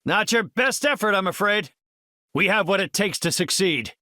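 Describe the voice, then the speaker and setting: An actor playing a courteous, confident middle-aged superhero scientist in a video game, speaking in an articulate, formal and erudite way. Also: male voice, sits high, lively intonation, normal speed, bright, forceful tone, no echo, clean studio sound. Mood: urgent, commanding. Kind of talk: video game character voice lines.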